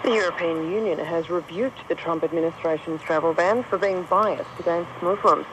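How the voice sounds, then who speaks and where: An Australian woman reads a radio news bulletin in a scripted style. She comes through a shortwave radio, with clear noise and outdoor ambience around her. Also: on the low side, moderate pace. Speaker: news reader. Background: radio static and outdoor ambience.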